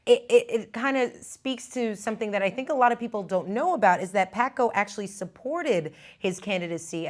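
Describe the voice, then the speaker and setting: Woman hosting a news interview. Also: on the low side. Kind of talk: broadcast interview, conversational questioning.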